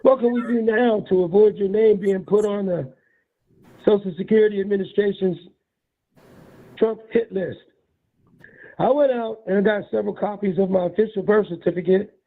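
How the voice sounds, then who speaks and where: A hoarse man with a raspy voice reads out a news report in a measured, deliberate way. His voice sits high for a man. The sound is thin and tinny, coming down a phone line. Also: fairly even intonation. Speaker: street reporter.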